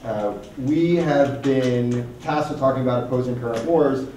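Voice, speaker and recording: Male moderator with a mellow tone, picked up from afar in a reverberant conference hall. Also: medium pitch, normal speed, slight background noise. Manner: relaxed, friendly, with frequent fillers. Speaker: panel moderator. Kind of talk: conference panel introduction. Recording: echoey hall, mic from a distance.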